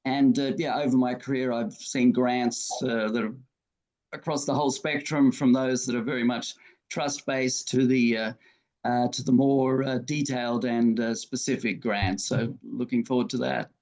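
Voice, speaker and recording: A man introduces himself on an online panel. His manner is relaxed, and he uses a few fillers. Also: medium pitch, normal speed, rough recording. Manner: casual, with fillers. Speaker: panelist, development finance advisor. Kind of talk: self-introduction.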